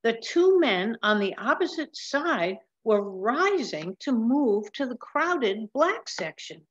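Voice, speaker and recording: A woman in her seventies reading a picture book aloud in a deliberate way, with emphatic pauses. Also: on the high side, sing-song, muffled recording. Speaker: storybook reader. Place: large room at home with wooden ceiling, indoors.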